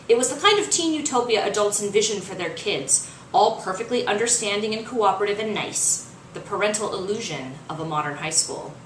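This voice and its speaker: A woman reading fiction aloud as its author, in expressive, dramatic narration. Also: medium pitch. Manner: dramatic, acts out dialogue. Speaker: author reading their own novel. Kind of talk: expressive audiobook-style narration. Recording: roomy, distant mic, faint noise.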